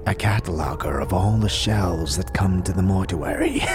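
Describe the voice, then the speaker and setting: A man playing a frail, weary old scribe in a fantasy audio drama, speaking solemn archaic lines with a breathy, wheezing, whispery rasp. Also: low voice, huge pitch swings, full character voice. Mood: solemn, protective. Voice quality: breathy, wheezing, rattling with whispered trailing phrases. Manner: slow, solemn, archaic formal speech. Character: frail, weary, ancient undead-order scribe, solemn and contemptuous. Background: music behind the voice.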